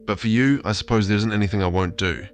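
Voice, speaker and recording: A man's voice: on the low side, quick pace, slight background noise, natural voice. A synthetic male voice playing a tender, lovestruck AI therapist chatbot, earnest and affectionate in intimate conversation. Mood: reluctant.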